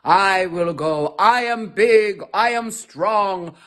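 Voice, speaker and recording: A woman voicing a big, boastful male elephant who speaks in slow, booming declarations. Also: fairly bright tone.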